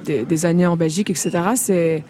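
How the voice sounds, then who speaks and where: A woman from the Congo speaks as a singer guest on a radio interview, quick and candid. Her voice is warm and mellow, and she talks at a rapid clip. She laughs easily and trails off into fillers. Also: fairly low pitch.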